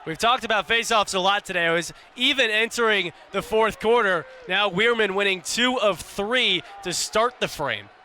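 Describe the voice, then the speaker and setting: A man's voice: high voice, normal speed, sharp tone. A young man giving live color commentary on radio sport, analysing in conversational sentences with emphatic opinions. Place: outdoor lacrosse stadium. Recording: noisy outdoor broadcast with stadium ambience.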